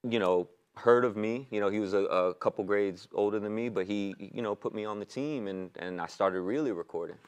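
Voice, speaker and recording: New rapper guy in his 30s, low smooth voice, laid back, telling stories in a studio chat. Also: brisk, hardly any pauses. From New York. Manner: easygoing, relaxed storytelling. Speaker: rapper guest. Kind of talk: casual interview chat. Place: TV-style studio set.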